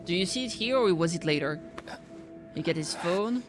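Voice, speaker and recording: A woman hosts a casual reaction commentary in a fairly low voice. She thinks aloud as she speculates, working through ideas step by step. She often tags her points with a quick check for agreement. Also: lively intonation, music in the background. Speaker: reaction video host.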